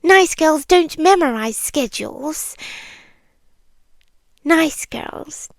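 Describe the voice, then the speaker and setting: A British woman voicing a possessive monster girlfriend in a slow ASMR roleplay, hushed and deliberate, slipping into whispers. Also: high-pitched, animated, dry recording, exaggerated voice. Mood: brooding, confessional. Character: possessive yandere monster girlfriend, confessing her obsession.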